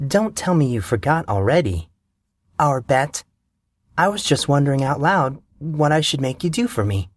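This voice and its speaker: A man putting on a teasing high school girl. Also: big pitch swings, moderate pace, no room sound, clean studio sound. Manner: teasing, bossy.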